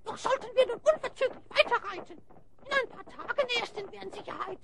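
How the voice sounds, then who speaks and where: Very high-pitched, pompous hyena spy. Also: male voice, a little fast, creaky, bright, forceful tone, heavily acted. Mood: smug, pompous. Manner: florid, pompous, speaks in rhyme. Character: suave male hyena spy, leader of thieves. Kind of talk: German audio drama acting.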